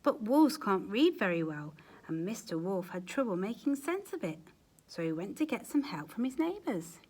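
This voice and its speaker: A woman reading a children's story aloud with expressive, dramatic emphasis, as a storyteller addressing young listeners. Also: medium pitch, moderate pace. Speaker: storyteller, teacher.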